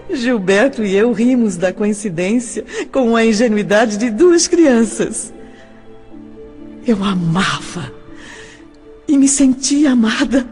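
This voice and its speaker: An actress playing an emotive young woman recalling love and betrayal in a dramatised audio novel, with measured, theatrical delivery. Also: medium pitch, a little mellow. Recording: long reverb, music behind.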